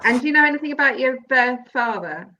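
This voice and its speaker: A woman hosts an interview in a bright, fairly high voice. She talks slowly and chattily, encouraging her guest and laughing easily. The recording sounds a little dull and lo-fi. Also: expressive. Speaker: podcast host. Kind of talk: casual interview.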